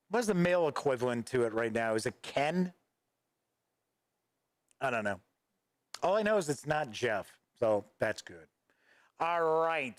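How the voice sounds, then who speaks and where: A man hosting a late-night livestream talks loosely and rambles while answering his viewers' comments. His voice sits a bit high for a man, and the sound is dry and close on a desk microphone at home. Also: dry recording. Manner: rambling, reads viewer chat aloud and responds offhandedly. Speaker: host of a gaming news livestream. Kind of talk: casual livestream chat. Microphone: studio microphone at a desk.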